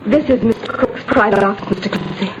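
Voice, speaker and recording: An actress playing a nervous young secretary in a 1940s radio drama, anxious and breathless. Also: female voice, mid-range voice, a little fast, close to an everyday voice. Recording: dull lo-fi old radio recording with hiss.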